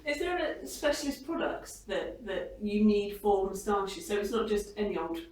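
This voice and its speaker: A woman's voice: medium pitch, animated, moderate pace, darkish tone. Woman interviewer in an echoey room. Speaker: radio interviewer. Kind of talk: casual backstage interview. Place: backstage at a music hall. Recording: echoey room, distant microphone.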